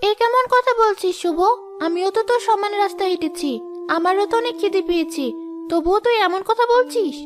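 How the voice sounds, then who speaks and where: An actor plays a caring young girl, the elder sister in a cartoon story. Her voice is very high, patient and gently reproving. Clear music runs behind her. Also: a little fast.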